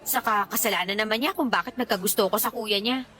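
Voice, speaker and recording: A woman's voice: mid-range voice, quick pace, bright, forceful tone, a little hiss or hum, lightly acted. A woman playing a candid, defensive young mother in a radio drama, dissatisfied with her lot. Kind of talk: Tagalog radio drama dialogue.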